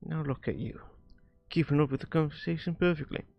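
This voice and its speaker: A man streaming a visual novel, reading its dialogue aloud haltingly and often stumbling and rereading lines. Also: a little high, creaky, highs cut off. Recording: music behind the voice. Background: music.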